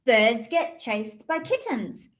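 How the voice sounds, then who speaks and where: A woman's voice: fairly high pitch, very animated, takes it slowly. A woman voicing an earnest, friendly young male kitten in a children's storybook, with a slightly hesitant delivery. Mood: thoughtful, earnest. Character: young male kitten, eager, thoughtful, friendly little hunter.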